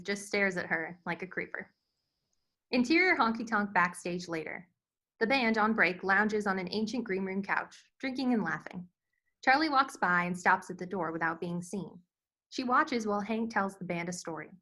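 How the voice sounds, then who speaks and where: A woman narrates screenplay action lines. Her voice is very bright and sits at an average pitch, with a scripted reading style suited to stage directions. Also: normal speed.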